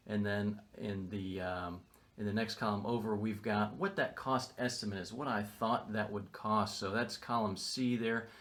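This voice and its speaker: A man's voice: fairly low pitch, fairly bright tone. A man hosting a casual video, explaining his rental renovation in an upbeat, matter-of-fact way. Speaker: real estate investor vlogger. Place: renovation site, indoors and outdoors. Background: construction noise.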